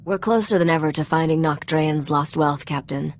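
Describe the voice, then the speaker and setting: A woman's voice: sits low, moderate pace, slight background noise. A woman playing a sly, self-assured treasure hunter and ship mechanic in a video game, dry and teasing. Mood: wry, confident. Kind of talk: video game cutscene acting.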